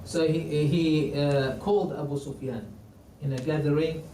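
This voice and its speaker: A man who is not a native English speaker teaching a religious history class in a mosque, halting with many restarts and quoting Arabic. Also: a little high, fairly even intonation, warm tone. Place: mosque hall. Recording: roomy, distant mic, faint noise.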